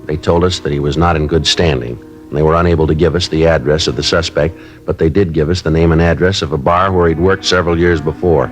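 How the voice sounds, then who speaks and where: An actor playing a terse police sergeant, narrating a 1950s radio crime drama in a clipped, deadpan way. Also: male voice, a bass voice, fast, soft background music. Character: terse male police sergeant, matter-of-fact.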